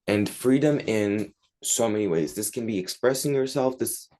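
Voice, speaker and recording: A man's voice: lowish voice, normal speed, warm tone. A teenage man from Turkey, answering interview questions in casual, chatty English. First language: Turkish. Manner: chatty, many likes and you-knows. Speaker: guest.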